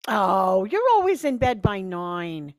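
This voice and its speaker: A woman's voice: medium pitch, very animated, takes it slowly, no room sound, studio quality. A woman playing a nagging, encouraging mother of a grown son in a vintage-style radio play.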